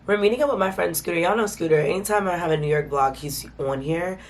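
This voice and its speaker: A woman chats informally into a handheld camera, calling her viewers y'all as she talks them through her day. Her voice sits a little low and sounds congested and stuffy from a cold. Faint ambient noise hangs behind her. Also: fast. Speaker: vlogger host. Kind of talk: casual vlog chat to camera.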